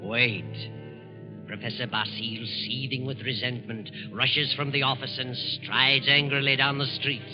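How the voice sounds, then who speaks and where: An American man narrates old-time radio suspense in an ominous, theatrical way. His pitch is medium and his delivery measured. Loud music plays behind the muffled recording. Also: little pitch movement. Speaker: host and narrator. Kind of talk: 1940s radio scripted narration.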